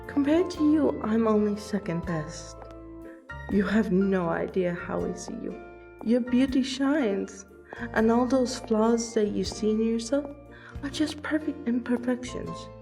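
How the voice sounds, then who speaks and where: Slow-talking, playful vampire lady, acted by a woman. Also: a little high, lively intonation, creaky, warm tone, faint music behind. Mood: wistful, tender. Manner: teasing, conversational, with dramatic pauses and exclamations. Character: playful, teasing, affectionate vampire friend at a ball. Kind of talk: ASMR roleplay audio drama.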